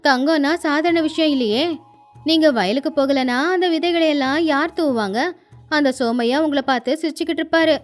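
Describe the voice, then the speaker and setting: High-voiced greedy village wife played by a woman. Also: a little fast, natural voice. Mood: eager. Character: Kanaka, quarrelsome, greedy, boastful village wife. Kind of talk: acted moral story for children. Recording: faint music underneath.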